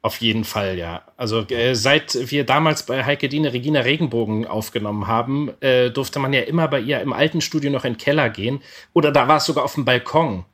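A man's voice: mid-range voice, fairly quick, fairly bright tone. A middle-aged man, a guest voice actor, reminiscing in casual conversation.

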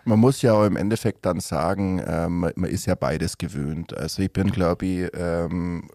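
A man's voice: sits low, normal speed, mellow, no echo. A man, a band member, chatting in an interview with dry, joking wit. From Swabian side of the Lech, Bavaria. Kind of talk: casual interview chat.

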